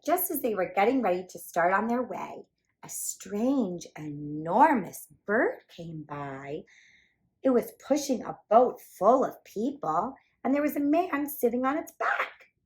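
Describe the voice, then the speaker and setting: A woman reading a children's picture book aloud as a storyteller, in an animated, emphatic way meant for young listeners. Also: medium pitch, measured pace, low-quality recording.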